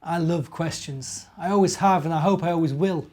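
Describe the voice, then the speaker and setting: A middle-aged man with a slightly high voice speaks as a children's storyteller. He addresses his young listeners directly, putting gentle questions to them in the manner of a minister at story time. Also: moderate pace. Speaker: minister reading children's stories.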